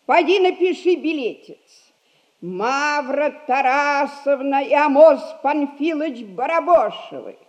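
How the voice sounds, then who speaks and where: An older actress playing a shrewd, domineering old merchant matriarch in a 1960s Russian radio comedy, drawling in a folksy, mocking way. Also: female voice, high voice, lively intonation, slow pace, dull audio. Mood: commanding. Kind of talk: classic Russian stage comedy acting, 1960s radio play.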